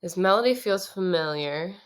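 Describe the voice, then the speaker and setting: A young woman with a low voice, talking through her songwriting process in a relaxed, casual way with many pauses. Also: smooth. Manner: relaxed, thinking aloud with many ums.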